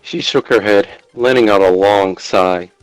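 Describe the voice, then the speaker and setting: A man's voice: fairly low pitch, a little slow, clear, resonant tone, dry recording, faint music behind, poor audio. A man reading scripted story narration in the role of narrator. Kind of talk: scripted narration of fan fiction.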